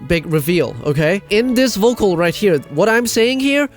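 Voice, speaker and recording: A man's voice: high-pitched, animated, normal speed, faint music behind. A man giving a casual ranting commentary as a video host, exasperated, emphatic and sweary, often repeating himself for stress.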